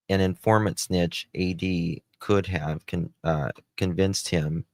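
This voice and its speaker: A man hosts a casual live stream in a low voice. He takes his time, musing conversationally as he reacts, and the sound is dry and close. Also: a little mellow, no echo. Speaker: host reacting to recordings and reading live comments.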